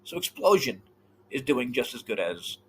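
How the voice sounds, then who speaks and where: A man talking casually as a podcast co-host, with a lively voice that swings up and down in pitch. He swears freely and often trails off mid-thought. A faint hiss of noise sits under the recording. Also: normal speed.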